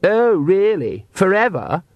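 A high, petulant young vampire girl acted out by a male reader for a fantasy audiobook. She whines and sneers with demanding contempt, her pitch sliding about dramatically. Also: big pitch swings, slow, no room sound, muffled recording, full character voice. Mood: scornful, aggrieved.

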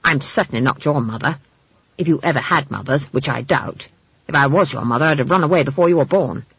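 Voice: mid-range voice, a little fast, a bit bright, breathy edge, muffled recording. A narrator voicing a bad-tempered old witch who snaps out indignant commands in a storybook reading. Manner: snapping, commanding, ringing.

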